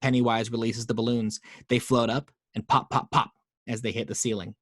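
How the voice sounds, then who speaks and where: A man's voice: mid-range voice, flat delivery, a little fast, no echo. A man narrating the action lines of a screenplay at a home table read, reading steadily with small stumbles on a close microphone.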